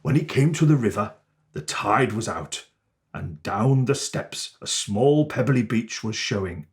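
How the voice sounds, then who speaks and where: A man reading a children's storybook as Father Christmas, with theatrical, deliberate and expressive phrasing. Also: fairly low pitch.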